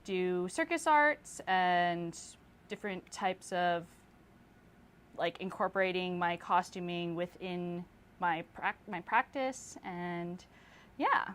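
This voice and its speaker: A woman's voice: fairly low pitch, slow pace. A young woman artist from the Philippines and Toronto, chatting casually as an interview guest with plenty of "um" and "like". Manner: relaxed, lots of fillers and likes.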